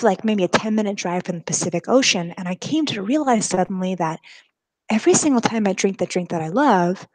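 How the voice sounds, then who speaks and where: A young woman with a Californian accent speaks as a guest presenter to school classes. Her delivery is informal and enthusiastic, with youthful, casual phrasing, at a medium pitch for a woman. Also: darkish tone. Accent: American, Californian. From California, United States. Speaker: guest speaker, explorer and storyteller. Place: live video call with screen sharing.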